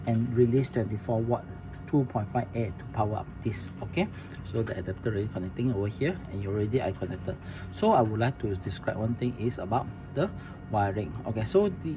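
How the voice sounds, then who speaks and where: A man walking viewers through a product setup, talking plainly with many restarts and fillers. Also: medium pitch. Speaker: product demonstrator. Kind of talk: casual product demo walkthrough. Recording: noisy room recording.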